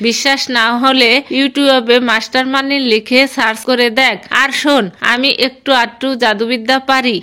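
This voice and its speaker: Woman as a high-voiced wise cartoon aunt. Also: sharp tone, clear, resonant tone. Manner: explanatory, fondly chiding. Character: wise, reassuring magician aunt of a young girl. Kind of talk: Bengali cartoon voice acting.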